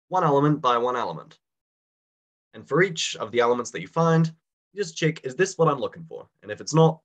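A young man with a slight cold and a bit raspy, teaching a live online class over a video call in a casual, chatty way and putting questions to his students. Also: mid-range voice.

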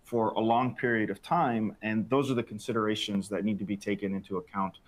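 A man's voice: on the low side, fairly even intonation, fairly quick. A man in his thirties on a panel in a factory studio, explaining with frequent restarts and repeated words, on a lapel mic. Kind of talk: panel discussion. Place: bright open factory studio set.

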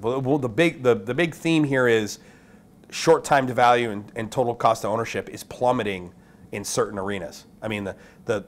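A man's voice: fairly low pitch, a bit bright. Blunt, emphatic man. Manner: emphatic, blunt, opinionated, often checks in with "okay?". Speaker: industry video host. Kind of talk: off-the-cuff event recap to camera. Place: small indoor room with curtains.

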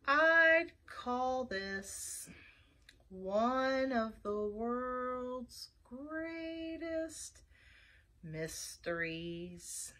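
A woman's voice: high voice, lively intonation, extremely slow, sharp tone, full character voice. A woman plays a storybook sloth who takes forever over each word. The delivery is extremely drawn out and placid, with an obliging, helpful temper. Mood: slow, calm. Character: very slow, unhurried, helpful sloth, male assistant.

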